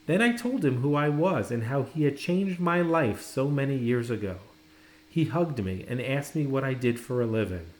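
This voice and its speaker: A man narrating a children's storybook expressively, with dramatic, deliberate phrasing and pauses. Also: mid-range voice, animated. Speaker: storybook reader. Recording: roomy, echoing indoor sound.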